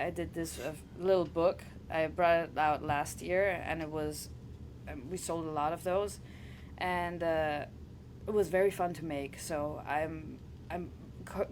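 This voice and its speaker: Guest: German-native woman, low-ish voice, casual, halting, laughs a lot, faint noise behind. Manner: laughing, halting, restarts often. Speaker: wrestler guest. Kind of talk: casual interview chat.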